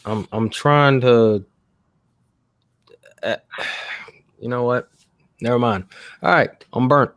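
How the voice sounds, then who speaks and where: A man's voice: medium pitch, slow pace, pauses often, a bit bright, clear, resonant tone, no echo. A man from Arkansas talking in a laid-back, hesitant way in a casual podcast chat. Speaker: podcast host.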